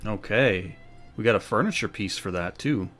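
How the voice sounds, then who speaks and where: Low-voiced man, casual game commentary. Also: animated, normal speed, fairly bright tone, soft background music. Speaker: game streamer.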